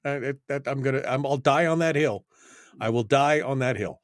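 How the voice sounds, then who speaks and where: A man's voice: medium pitch, normal speed, dry recording, clean studio sound. An American man hosting an opinionated call-in radio show, speaking bluntly and emphatically and repeating points for stress. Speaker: radio talk show host.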